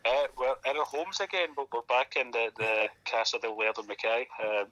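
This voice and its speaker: A man's voice: mid-range voice, moderate pace, bright, forceful tone, dry recording, muffled recording. A man co-hosting a podcast from his bed at home, nursing a cold and sounding a bit snuffly, laid-back and cheerfully sweary. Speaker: podcast co-host. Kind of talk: casual chat.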